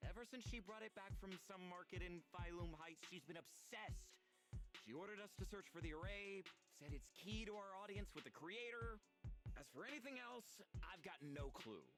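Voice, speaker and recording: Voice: medium pitch, lively intonation, moderate pace, over music, close to an everyday voice. An actor playing a resentful, weary cult underling held captive in a story game, grudging and sneering in delivery. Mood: bitter, grudging. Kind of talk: animated game story dialogue.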